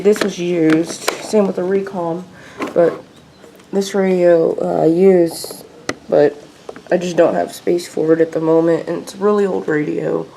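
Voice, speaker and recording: Low, creaky-voiced woman, casual and unscripted. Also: noisy background. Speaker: video creator showing a radio collection. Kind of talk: casual unscripted show-and-tell. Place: indoors at home.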